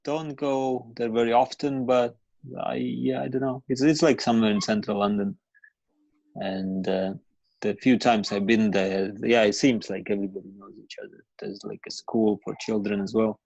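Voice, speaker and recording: A man's voice: fairly low pitch, steady tone, moderate pace, gravelly, darkish tone, no echo, dull audio. A man from Latvia, a comedian guest chatting hesitantly on a podcast, with many restarts and fillers. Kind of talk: casual podcast chat.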